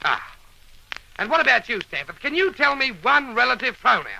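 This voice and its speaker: A fussy, exasperated schoolmaster in vintage radio comedy. His voice is very high, sharp and creaky, swinging up and down as he stammers and blusters through a scolding lesson. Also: big pitch swings, brisk, slight background noise, exaggerated voice, rough recording. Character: flustered, exasperated old-fashioned male schoolmaster. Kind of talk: old-time radio comedy acting. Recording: vintage radio broadcast recording.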